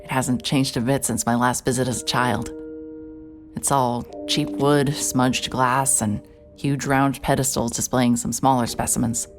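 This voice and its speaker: A woman playing a hard-hearted, stubborn private investigator in an audio drama, narrating in a wry, terse, deadpan way. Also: a bass voice, measured pace, faint music behind. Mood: brooding, observant. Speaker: narrator and lead actor.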